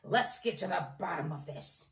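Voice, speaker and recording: Woman putting on a gruff daddy bear, deep growling storybook voice, roomy echo. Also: expressive, moderate pace.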